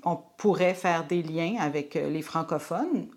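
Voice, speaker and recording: A woman's voice: sits low, unhurried, a little mellow. A woman hosting an interview, asking chatty questions and affirming her guest. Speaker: podcast host, interviewer. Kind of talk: interview conversation.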